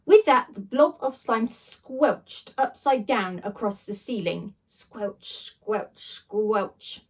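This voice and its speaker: A woman reading a children's story aloud like a teacher, with dramatic, expressive delivery and emphatic sound words. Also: mid-range voice, slow. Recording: voice a little distant from the microphone.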